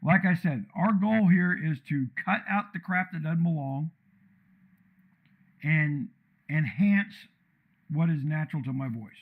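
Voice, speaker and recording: A man live-streaming while he tunes his microphone, talking in a blunt, loud, rambling way with a deep, commanding voice, in an untreated room with a computer fan. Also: unhurried, long pauses, narrow, tinny audio. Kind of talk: casual live-stream rant.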